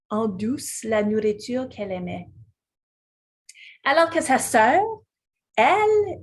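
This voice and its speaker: Brief: woman, slow keynote pace, slightly husky, higher-pitched voice. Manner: slow and deliberate, with small restarts. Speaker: conference speaker. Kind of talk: prepared keynote speech.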